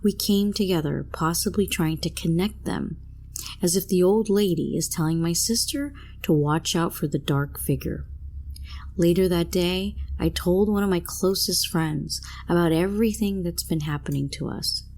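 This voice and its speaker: A woman's voice: fairly low pitch, moderate pace, a little mellow, a little hiss or hum. A woman reading a scripted first-person horror story as a narrator.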